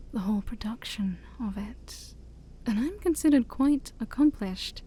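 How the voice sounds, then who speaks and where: A woman playing an ancient demon princess, haughty yet shy, in a soft-spoken ASMR roleplay that often drops to a whisper. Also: medium pitch. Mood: bemused, intrigued. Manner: formal, teasing, hesitant, sighing.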